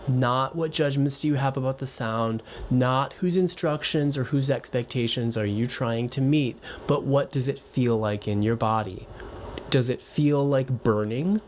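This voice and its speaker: A male voice teacher talks directly to his viewers, giving warm-hearted, encouraging advice. He speaks in a relaxed, conversational way, sometimes restarting a phrase, with his pitch sitting squarely in the middle for a man. A faint hiss sits under the recording. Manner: gentle, reassuring, encouraging. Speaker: voice and piano teacher. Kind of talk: direct-to-camera advice talk.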